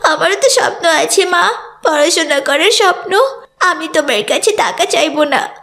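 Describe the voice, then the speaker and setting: A woman's voice: extremely high pitch, lively intonation, moderate pace, bright, forceful tone, heavily acted. A woman voicing a poor, earnest and determined schoolgirl in a cartoon, with a plaintive delivery. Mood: shocked, pleading. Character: poor, bright, determined schoolgirl, hurt and pleading. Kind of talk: cartoon voice acting.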